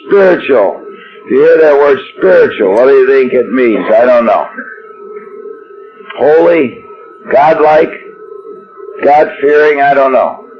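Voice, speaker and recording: A man from Chicago giving a joking, rambling talk at a recovery workshop to a live audience. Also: medium pitch. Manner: wry, rambling, self-deprecating. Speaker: AA workshop speaker. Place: meeting room before an audience. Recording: dull lo-fi archive tape with faint hiss.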